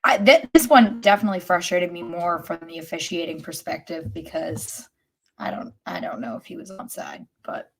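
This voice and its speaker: A woman's voice: lowish voice, moderate pace. A woman co-hosting a casual sports podcast, blunt and ready to argue her point. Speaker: podcast co-host.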